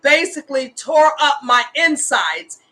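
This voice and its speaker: A woman's voice: high-pitched, big pitch swings, unhurried, bright, forceful tone. A woman in her fifties hosting a casual live show, speaking with earnest, impassioned conviction as an advocate. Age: 50s. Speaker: host, homeless women's advocate. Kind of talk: casual livestream talk.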